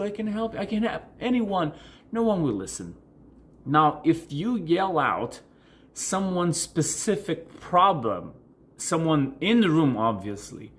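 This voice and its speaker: A man whose native language is Portuguese, speaking as a coach and video host in an informal explanatory style, repeating and rephrasing words for emphasis. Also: fairly high pitch. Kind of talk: informal explanatory talk to camera answering questions.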